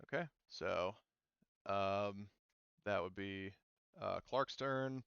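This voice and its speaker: A man's voice: fairly low pitch, drawn-out words, creaky, a bit bright, clean tone, no room sound, highs cut off. A man narrating and explaining rules casually as a tabletop game master. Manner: informal, talks through rules as he reads them.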